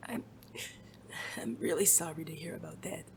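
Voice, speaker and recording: Noisy ambience sits behind a woman playing a wounded detective in an audio drama. The voice is very low, with terse, wry, blunt delivery and a wary but caring temper. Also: steady tone, moderate pace, creaky, a little mellow.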